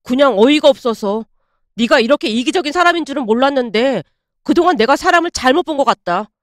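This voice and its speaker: Woman acting exasperated young fiancé, animated and scolding. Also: high-pitched, fast, dry recording, clean studio sound. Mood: disappointed. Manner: blunt, scolding, disbelieving. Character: exasperated, indignant young man arguing with his fiancée.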